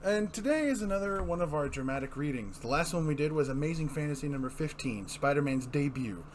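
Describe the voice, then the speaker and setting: A man's voice: fairly high pitch, expressive, a little fast, slight background noise. A man hosting a dramatic comic book reading, chatting casually and describing scenes informally. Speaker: host and reader.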